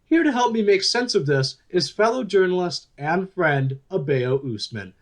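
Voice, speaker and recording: A man's voice: high-pitched, big pitch swings, normal speed. A man playing an upbeat, chatty news talk show host in a radio play, with an emphatic delivery. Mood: exasperated, emphatic.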